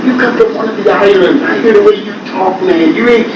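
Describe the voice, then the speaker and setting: A man with a Guyanese accent preaching a call-and-response sermon, breaking into sudden shouts. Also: high-pitched, fairly quick, dull audio. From United States. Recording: echoey room from far off, loud noise.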